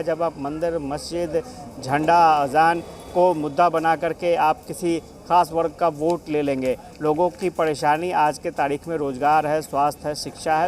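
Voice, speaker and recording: A man's voice: on the high side, darkish tone. A man giving an unscripted, explanatory live political report as a news correspondent.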